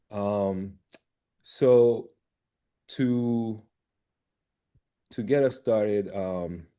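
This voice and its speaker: A man from Cameroon leads a video call, and his voice sits low with a dark, mellow tone. He talks in a halting way, full of "um"s and restarts, as he presents his research. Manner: halting, many fillers and restarts.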